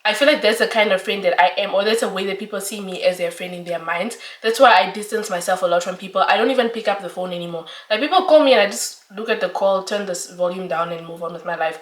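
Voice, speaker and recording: A woman's voice: mid-range voice, fast, hardly any pauses, distant mic. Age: 20s. Sex: woman. Style: chatty vlog, roomy sound. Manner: chatty, animated, laughs often. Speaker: vlogger. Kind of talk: casual talk to camera. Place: her own room. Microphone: camera mic.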